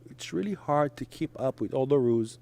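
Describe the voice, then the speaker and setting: Israeli-born man, halting short phrases. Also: medium pitch, expressive, gravelly, a little mellow. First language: Hebrew. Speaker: guest. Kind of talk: conversational interview.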